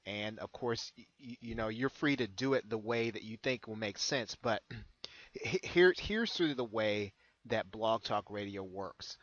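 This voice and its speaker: An American man giving an unscripted screen-share tutorial as a consultant, halting and often repeating his phrases. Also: lowish voice, a bit bright, no echo. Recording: dull lo-fi close recording.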